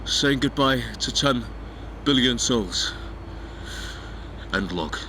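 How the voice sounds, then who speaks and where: A man playing an idealistic, determined space explorer in an audio drama, speaking earnestly and deliberately. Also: mid-range voice, expressive, echoey room, in a large hall, a little hiss or hum. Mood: focused, solemn. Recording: loud music behind.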